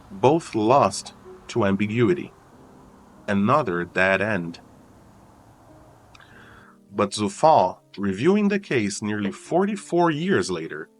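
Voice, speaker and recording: A man reading scripted true crime documentary narration in a solemn, suspenseful manner with deliberate dramatic pauses. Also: on the low side. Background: background music.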